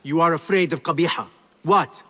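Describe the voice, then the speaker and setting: An actor voicing an exasperated young infiltrator posing as a servant, dry and measured, in a video game cutscene. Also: male voice, a little high, bright, forceful tone. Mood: impatient, muttering.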